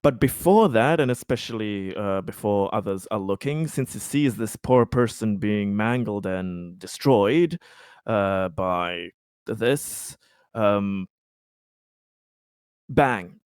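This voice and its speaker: A man's voice: on the low side, lively intonation, takes it slowly, a little mellow, smooth, dry recording. Dry-sounding man at a gaming table. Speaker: tabletop game player. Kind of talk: casual game table chat.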